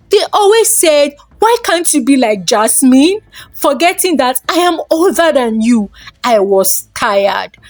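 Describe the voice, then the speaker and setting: A woman's voice: high voice, darkish tone, dry recording, exaggerated voice. A woman storyteller voicing a jealous older sister, bitter and cornered, in a folktale.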